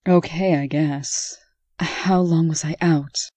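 A slow, mellow and husky heroine played by a woman in a comic dub. She is a serious, weary captive who asks questions with indignation. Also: sits low, fairly even intonation, dry recording. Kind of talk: amateur comic dub acting.

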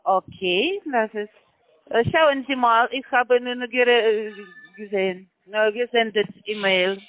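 A woman's voice: a little high, measured pace, no room sound. A woman working as a call center agent on a phone call, talking in broken grammar with constant hesitant fillers. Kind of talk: phone sales pitch. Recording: tinny dry phone line.

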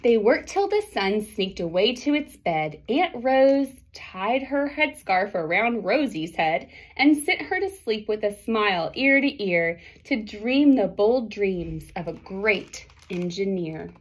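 A woman's voice: medium pitch, very animated, takes it slowly, hardly any pauses, low-quality recording. A woman in her thirties reading a picture book aloud expressively to children, with dramatic phrasing and short asides to her listeners. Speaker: storybook reader for children. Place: small indoor classroom or office.

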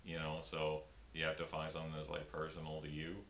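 A man's voice: monotone, fast, fairly bright tone. A young man, a stand-up comedian, talking casually in an interview with many fillers and restarts, filmed in a small room. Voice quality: very deep voice. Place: small room before a pink backdrop. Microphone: fixed camera, upper-body framing. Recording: roomy with faint hiss.

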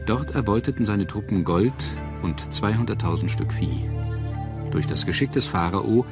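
Male narrator for history documentary, very low register, measured and dramatic, clear music bed. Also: expressive. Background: prominent music.

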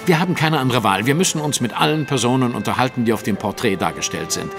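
Casting: an analytical, self-assured sleuth for audio drama, formal and exact, lively intonation, faint music behind. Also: male voice, mid-range voice, quick pace, creaky. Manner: precise, formal, deductive.

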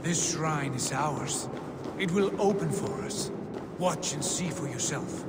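A man voicing a proud, visionary Viking warrior in a game, speaking in a grand, solemn way over loud score. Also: fairly high pitch, slow pace, heavy background noise. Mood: fervent. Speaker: video game character. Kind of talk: game voice acting.

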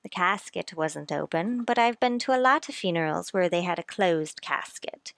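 A woman's voice: fairly low pitch, expressive, moderate pace, gravelly, fairly bright tone, no room sound. A woman playing a gossipy, matter-of-fact small-town matron in an old-time radio play, savoring every detail.

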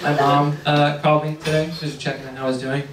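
A man in his thirties doing a deadpan stand-up set on a small club stage, talking into a handheld mic over audience laughter. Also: on the high side, fast talker. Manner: deadpan, wry, jumps into quoted voices. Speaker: stand-up comedian. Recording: roomy club sound from far off, heavy audience noise.

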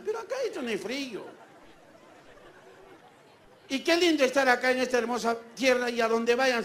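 A man's voice: extremely high pitch, a bit bright. A man from Peru with a Peruvian accent performing stand-up comedy, telling stories theatrically and bantering with his audience. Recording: live show with crowd and background noise. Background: audience ambience.

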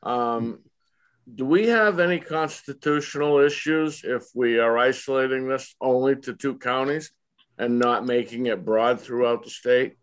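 A man's voice: mid-range voice, unhurried, clean tone. Plain-spoken man asking direct questions. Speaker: state representative on committee. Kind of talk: questioning a witness. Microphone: video call.

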